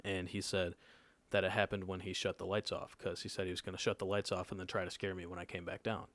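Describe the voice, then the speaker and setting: A man's voice: low voice, little pitch movement, fast, a bit bright. A nineteen-year-old man telling a personal story in casual podcast chat, laid-back and often checking in with his listeners. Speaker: podcast co-host.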